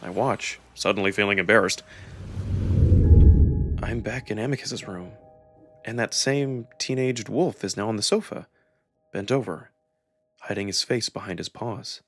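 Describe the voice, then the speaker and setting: A man narrating a first-person story dramatically, with expressive, measured phrasing. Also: lowish voice, faint music behind. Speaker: narrator and performer of a visual novel.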